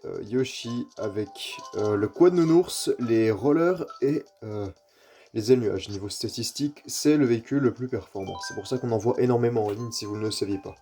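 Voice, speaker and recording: A man's voice: mid-range voice, mellow. A man with a head cold gives rambling, casual commentary while playing a racing game, with many fillers and the odd curse. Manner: rambling and filler-heavy, mild swearing. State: stuffy-nosed with a cold. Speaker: gaming youtuber.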